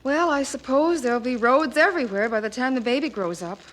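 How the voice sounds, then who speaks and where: An actress playing a gentle, calm young wife and expectant mother in a period audio drama, speaking with soothing patience and affection. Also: sits high, fairly quick, lightly acted. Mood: proud, hopeful.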